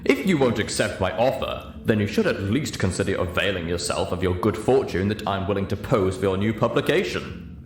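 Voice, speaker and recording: A man's voice: lowish voice, animated, fairly quick, natural voice. A man voicing a vain, haughty elderly unicorn stallion prince with a pompous manner in an audiobook story. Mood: smug. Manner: pompous, self-admiring. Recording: roomy echo, clear background hiss.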